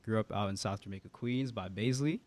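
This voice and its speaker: A man in his twenties from Guyana, a medical student telling his story in a relaxed, casual way. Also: on the low side, a little fast. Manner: relaxed, casual, many "like" fillers. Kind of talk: casual interview talk.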